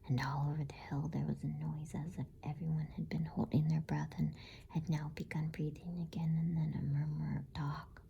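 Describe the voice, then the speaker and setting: A woman reading a bedtime story in ASMR style, in a soft, hushed, breathy whisper. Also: sits low, little pitch movement, muffled recording, a little hiss or hum. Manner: slow, gentle, hushed.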